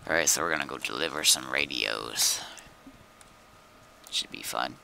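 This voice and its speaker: Deep, very bright-voiced man gaming. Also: normal speed, vocal fry, slight background noise. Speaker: game streamer. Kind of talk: casual gaming chat.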